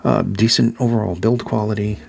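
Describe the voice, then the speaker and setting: A man with a Massachusetts accent giving a casual, rambling gear review, filling pauses with ums and uhs and repeating his praise. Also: lowish voice, fairly even intonation, gravelly, a little mellow. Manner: filler-heavy, repeats praise words.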